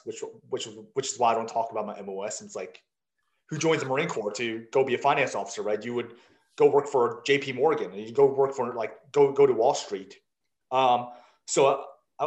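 A man in his thirties, who grew up in South Africa, tells his life story to old service buddies. He speaks at an ordinary mid pitch and stays candid and self-mocking, acting out bits of dialogue. The room has a noticeable echo. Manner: candid, self-deprecating, lively anecdotes with quoted dialogue. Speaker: guest, startup founder. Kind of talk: casual conversational storytelling. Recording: roomy echo.

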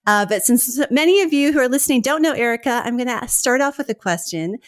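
A woman's voice: a little high, lively intonation, fast talker, no echo, studio quality. A woman hosting an interview, introducing her guest in a casual, enthusiastic, conversational way. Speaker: interview host.

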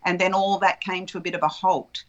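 Low-voiced woman telling her story. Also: steady tone, fairly quick, dull audio. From Australia. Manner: flowing, reflective storytelling. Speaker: guest, childbirth educator. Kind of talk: relaxed interview conversation.

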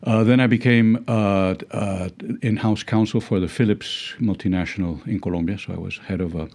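Low-voiced Dutch-accented middle-aged man. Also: steady tone, normal speed, creaky, a little mellow. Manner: hesitant, many fillers and restarts, wry chuckles. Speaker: legal philosophy professor. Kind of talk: reflective interview talk.

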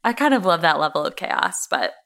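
A woman with a bright, forward voice and swooping intonation chats as a podcast co-host. She tells pop-culture stories in a casual, animated style. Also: fairly low pitch, brisk. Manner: animated, chatty storytelling.

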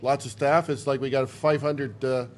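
A man's voice: medium pitch, steady tone, normal speed, slight background noise. A middle-aged man, a convention organizer, chatting casually in an interview and rambling in a folksy way with casual swearing.

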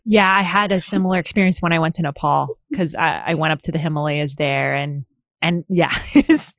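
A woman's voice: sits low, fairly even intonation, moderate pace, no echo. Host, woman from the Philippines, upbeat chatter, dry close sound. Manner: bubbly, laughs often, many restarts. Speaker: interviewer. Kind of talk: casual interview.

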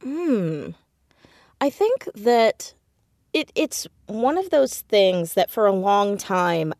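A woman chatting casually as an interview guest, telling things in an animated, easygoing conversational way. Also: mid-range voice, slow pace, vocal fry, dry recording, studio quality. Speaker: podcast guest, audio fiction writer and actor.

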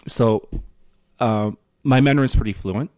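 A man with an American accent chatting casually on a podcast in a halting, filler-heavy way. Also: medium pitch, darkish tone, smooth, no room sound, studio quality. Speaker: podcast speaker.

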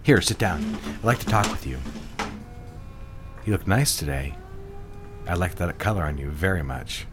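A man acts out a smooth advertising boss in an old-fashioned office drama. His low voice is assured, teasing and condescending, the sound of someone used to being obeyed. Light music sits behind him. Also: expressive, normal speed, long pauses. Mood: courteous, probing. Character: smooth, patronizing male ad executive boss. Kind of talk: period office audio drama acting.

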